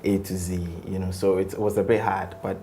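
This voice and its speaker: A man speaks as an interview guest in a low, easygoing voice. He talks in a reflective way and leans on fillers as he thinks. A faint noise hum sits under the recording. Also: normal speed. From Ghana. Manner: relaxed, reflective, with frequent fillers like "um" and "like". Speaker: guest, tech company co-founder. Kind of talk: casual interview conversation.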